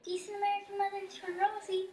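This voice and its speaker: A very high-voiced cheeky street kid. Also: female voice, flat delivery, quick pace, darkish tone. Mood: cocky, teasing. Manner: brash, slangy, wisecracking. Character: cheeky, streetwise, money-grubbing young newsboy kid. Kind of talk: radio play acting.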